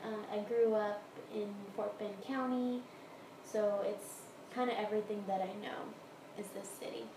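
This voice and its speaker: A woman's voice: mid-range voice, fairly even intonation, moderate pace. A woman with an American accent casually answering interview questions about her own experiences in a room at home. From Houston area, Texas, United States. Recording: roomy echo, clear background noise.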